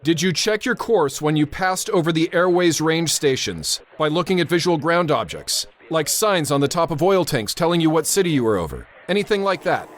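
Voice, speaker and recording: An actor playing a brisk, steady airport tower controller under pressure, in a radio drama style. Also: male voice, on the high side. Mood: calm, probing.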